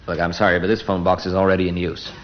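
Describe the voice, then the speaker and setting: A man's voice: sits low, little pitch movement, quick pace. A man bantering and improvising as a panellist on a radio comedy game. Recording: dull, very noisy old radio recording. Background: loud studio audience.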